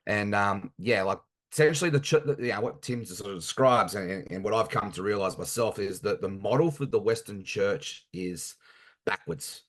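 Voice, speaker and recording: An Australian man in his thirties with a very deep, low voice. He talks earnestly and haltingly, pausing often on fillers as he answers as a guest. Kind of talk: casual interview chat.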